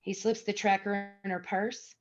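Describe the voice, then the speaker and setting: Woman to narrate screenplay directions, medium pitch, on somewhat dull audio. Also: level tone, normal speed, soft background music. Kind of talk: scripted narration.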